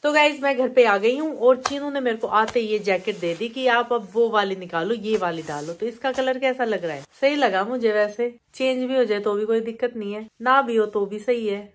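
A woman's voice: on the high side, normal speed, hardly any pauses, slight background noise. A middle-aged woman chatting casually as a vlogger, recorded on a phone at arm's length. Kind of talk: casual vlog chat to camera and family. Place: small home rooms and inside a car.

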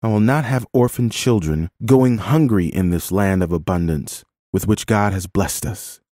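A man's voice: fairly low pitch, moderate pace, dark tone, dry recording, clean studio sound, close to an everyday voice. A man voicing a firm, compassionate, pious woman who speaks earnestly in a dramatised story. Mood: earnest appeal. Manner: earnest, righteous, exhorting.

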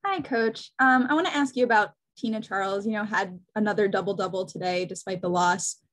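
A woman's voice: medium pitch, fairly quick, a bit bright. Female reporter, polite, mid-range voice, lengthy question. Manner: polite, long wind-up question. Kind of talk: press conference question.

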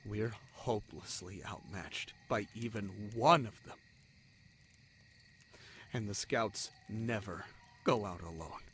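A man playing an anguished, self-sacrificing young spider-folk lover in a romantic audio drama, halting and pleading in manner. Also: lowish voice, fairly bright tone, a little hiss or hum. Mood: grim warning.

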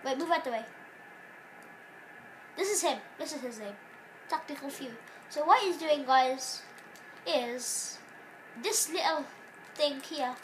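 A woman hosting a gaming channel, giving casual rambling commentary on gameplay while sick, sounding nasal and stopping to cough, recorded in a dark room on a phone aimed at a TV. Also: high voice, a little slow, long pauses, a little hiss or hum, low-quality recording.

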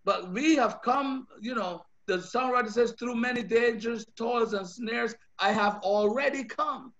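Slow, high-voiced Bajan preacher. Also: male voice, fairly bright tone. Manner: earnest, repeats words while building points. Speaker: pastor. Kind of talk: sermon over video call. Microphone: video call. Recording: dull lo-fi video call audio.

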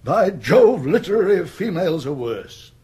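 A man's voice: high voice, sing-song, moderate pace, dark tone, slightly rough, exaggerated voice. An actor playing a forgetful, grumbling old upper-class English earl in a radio comedy, blustering and muddled as he repeats himself. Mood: querulous, blustering. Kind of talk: radio comedy drama acting.

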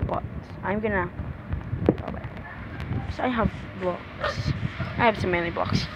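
A young person giving casual, halting gameplay commentary with repetitive phrasing as the host of a gaming video. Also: steady tone, vocal fry, lo-fi sound. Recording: very noisy, windy rumbling microphone.

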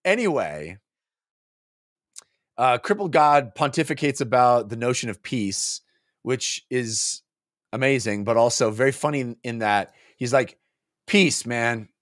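A man's voice: mid-range voice, lively intonation, measured pace, fairly bright tone, dry recording, studio quality. A man in his forties co-hosting a book club podcast, talking casually with jokey, hesitant asides into a desk mic. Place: small home office, indoors. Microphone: close desk microphone on boom arm.

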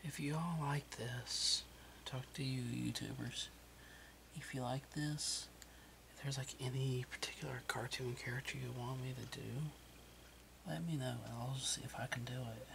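Whispering man, gravelly, over audible noise. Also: medium pitch, steady tone. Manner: hushed, unhurried. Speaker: crafter demonstrating wood burning. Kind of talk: whispered ASMR craft chat. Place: indoors, carpeted room at home. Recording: noisy whispered recording.

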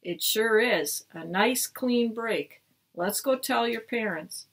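A woman teacher voicing a kind, cheerful woman doctor in a storybook read-aloud, with a bright, reassuring manner and a breathy tone. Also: a little high, lively intonation, slow, heavily acted.

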